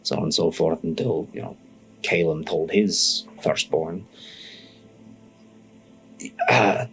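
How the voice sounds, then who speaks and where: Man acting scholar, male, low pitch, halting, hedging, secretive, folksy, tabletop game, soft music behind. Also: vocal fry, full character voice, poor audio. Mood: confiding, careful. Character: hesitant, secretive male scholar keeping family work.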